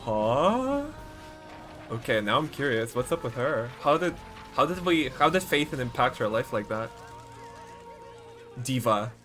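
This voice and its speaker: Expressive man streaming with faint music. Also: mid-range voice, a little slow, pauses often. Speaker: game streamer. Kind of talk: casual livestream reaction commentary. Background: game trailer music.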